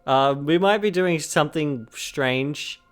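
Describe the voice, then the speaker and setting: A man's voice: fairly high pitch, slow pace, smooth, faint music behind. Giggly young man losing his voice. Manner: giggly, rambling, many fillers and restarts. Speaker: podcast co-host. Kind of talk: casual chat.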